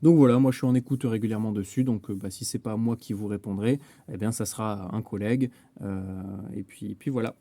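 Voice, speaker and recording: A man giving a casual software tutorial as a network administrator, explaining step by step with some hesitation. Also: on the low side, steady tone, fairly quick, few pauses, dry recording.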